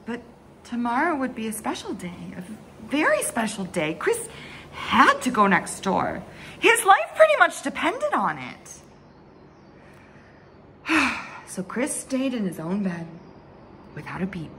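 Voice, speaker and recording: A young woman reading a children's picture book aloud in an expressive, dramatic way, drawing out words and dropping into hushed asides. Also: fairly high pitch, unhurried, pauses often, slight background noise. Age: young adult. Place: small bright room indoors at home.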